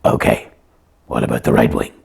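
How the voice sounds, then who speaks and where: A woman voicing a naive sock puppet sidekick in a comic puppet show, asking short, blunt questions in a husky, gravelly, breathy voice. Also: normal speed, darkish tone, natural voice. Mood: naive curiosity.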